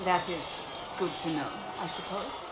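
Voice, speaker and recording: An actress playing a stern, earnest warrior in a video game, formal and a little stiff and hesitant. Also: female voice, on the low side, normal speed, lightly acted. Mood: awkward, curious. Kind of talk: video game dialogue acting. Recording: echoey, loud music behind.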